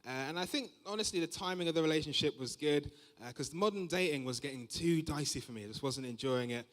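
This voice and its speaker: Casting: young male stand-up, London accent, fairly high voice, conversational storyteller, recorded on stage in a reverberant hall. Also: fast talker, fairly bright tone. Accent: London English. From Southeast London, England. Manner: conversational, acts out quoted remarks. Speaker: stand-up comedian. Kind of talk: live stand-up comedy, observational anecdotes. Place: large comedy venue, on stage. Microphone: stage microphone.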